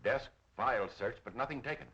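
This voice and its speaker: A man's voice: mid-range voice, fairly quick, fairly bright tone, natural voice. An actor playing an anxious, earnest businessman in a 1930s film. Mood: worried, earnest. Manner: earnest, nervous. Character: anxious, harried male businessman in fear. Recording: dull 1930s film soundtrack.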